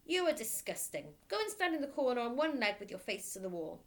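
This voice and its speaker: Storybook tyrant headmistress, female reader: bellowing, scornful, commanding, with very lively pitch. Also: brisk. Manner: barking, bellowing, commanding, insulting. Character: giant, bullying, furious headmistress, ferocious and contemptuous.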